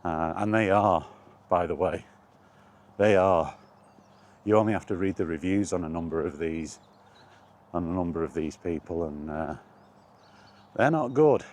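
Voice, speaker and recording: A man's voice: low voice, measured pace, pauses often, a little mellow. A British man giving a rambling, casual vlog chat in a blunt, opinionated manner. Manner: opinionated, blunt, mildly sweary. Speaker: video blogger.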